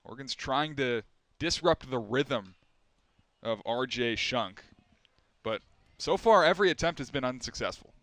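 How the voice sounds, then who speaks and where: A man's voice: medium pitch, lively intonation, a bit bright, no echo. Young man calling baseball play-by-play. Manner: measured, rising for big plays. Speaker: play-by-play announcer.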